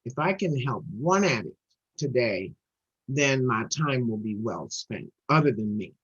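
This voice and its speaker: A woman's voice: extremely low pitch, very animated, a little slow, husky. A middle-aged woman from Trinidad and Tobago, speaking as the guest at a recovery meeting and sharing her story earnestly, often repeating words as she gathers momentum. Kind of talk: personal recovery share. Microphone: video call. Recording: dull lo-fi video call audio.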